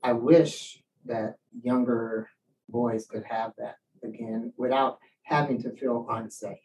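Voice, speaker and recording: A man's voice: medium pitch, takes it slowly. A man speaking casually and reflectively as a poet and teacher answering questions, acting out bits of remembered speech as he tells stories. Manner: animated storyteller, acts out quoted remarks. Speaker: poet and writing teacher giving a talk. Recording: distant mic with faint background noise.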